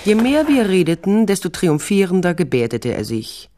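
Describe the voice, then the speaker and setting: A woman's voice: fairly low pitch, big pitch swings, moderate pace, dark tone. An actress playing a tough, wry female private detective, narrating a crime radio play in a terse, observant way. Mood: tense, observant.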